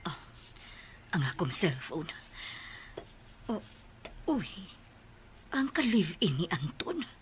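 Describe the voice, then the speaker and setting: A woman's voice: lowish voice, sing-song, slow, pauses often, noisy background. A woman in a Visayan Filipino radio drama playing a middle-aged, bossy, short-tempered matriarch. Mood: suspicious, hushed. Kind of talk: broadcast radio drama acting.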